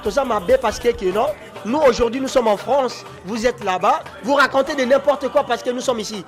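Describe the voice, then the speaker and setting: A man's voice: extremely high pitch, moderate pace, faint music behind. A musician from Congo living in France, speaking earnestly and insistently in casual talk, often restarting his sentences. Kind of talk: casual chat.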